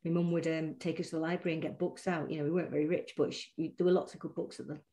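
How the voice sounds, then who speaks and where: A middle-aged woman with a Mancunian accent chats easily as an interview guest. Her voice sits fairly low and sounds mellow and soft. She rambles warmly, circling back with fillers and restarts. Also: level tone, racing. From Manchester, England. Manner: chatty and warm, many restarts and fillers. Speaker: poet and guest. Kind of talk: casual interview chat.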